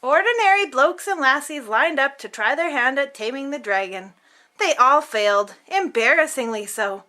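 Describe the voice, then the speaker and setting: A woman's voice: sits high, animated, normal speed, bright, forceful tone. A woman reading a children's picture book aloud as host, theatrically, drawing out words for emphasis.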